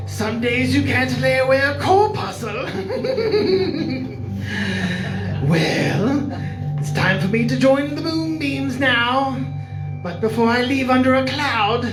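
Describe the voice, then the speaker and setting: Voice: high-pitched, expressive, over loud music. A performer putting on a ghoulish, punning horror host who closes the show with macabre jokes. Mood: macabre jokey. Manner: macabre jokey, drawn-out delivery. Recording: roomy live room sound.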